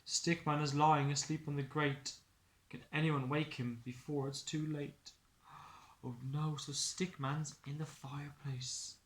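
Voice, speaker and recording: Expressive male teacher reading, echoey room. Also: a little high, measured pace. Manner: expressive, simple explanations for children. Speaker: early years class teacher. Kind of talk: picture-book storytelling for young children.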